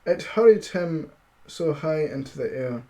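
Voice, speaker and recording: A man streaming amateur storytelling, halting as he reads, with casual, self-deprecating asides. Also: on the high side, animated, warm tone, rough recording. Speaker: streamer reading stories aloud.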